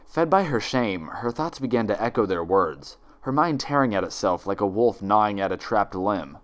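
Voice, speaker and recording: A man narrating a story like a dramatic audiobook, in a theatrical, measured manner. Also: fairly low pitch, expressive.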